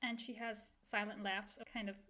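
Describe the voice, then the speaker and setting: A woman's voice: medium pitch, level tone, a little fast, bright, forceful tone. A woman guest in a casual interview, explaining in loose, self-correcting bursts, on slightly lo-fi sound. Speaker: voice actor guest. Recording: slightly dull, lo-fi microphone sound.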